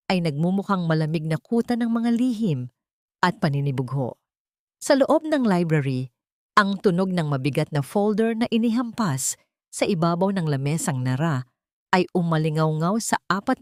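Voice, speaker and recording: A woman narrates a dramatic audiobook story at an unhurried pace. Her voice is fairly low. The recording is close and dry. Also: no room sound.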